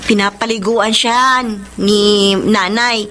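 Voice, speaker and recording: A woman playing a dutiful young daughter, earnest and expressive, in a radio drama. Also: mid-range voice, slow pace. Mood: indignant. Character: dutiful younger sister defending her mother. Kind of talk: Filipino radio drama acting. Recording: noisy radio drama track.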